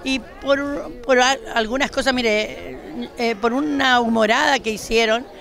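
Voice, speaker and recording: A woman in her sixties giving a hesitant, halting street interview into a handheld mic. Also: on the high side, fairly even intonation, gravelly, a bit bright, some chatter behind. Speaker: grandmother of a graduate. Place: outdoors on a city street. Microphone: handheld reporter mic.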